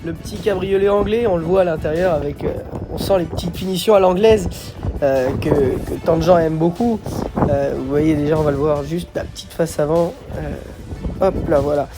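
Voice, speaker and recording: A man's voice: on the high side, moderate pace, music in the background. A man with a slight head cold gives casual vlog commentary as a host, hesitating and repeating words as he goes.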